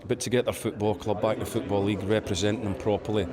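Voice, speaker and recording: A man with a low voice answering a reporter earnestly, halting now and then. He is outdoors with a loud crowd around him. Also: level tone. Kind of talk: vox pop interview. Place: outdoors at a stadium.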